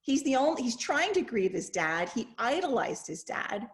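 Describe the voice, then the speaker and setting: Chatty woman with a higher-pitched voice. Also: sing-song, normal speed. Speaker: host, English professor leading a discussion. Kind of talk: casual video-call discussion. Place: video call. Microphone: video call microphone.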